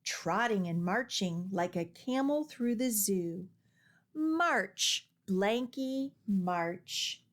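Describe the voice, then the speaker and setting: Very slow, animated woman reading a picture book. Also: medium pitch. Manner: expressive, deliberate, rhythmic phrasing. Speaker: children's storytime reader. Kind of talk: picture-book read-aloud.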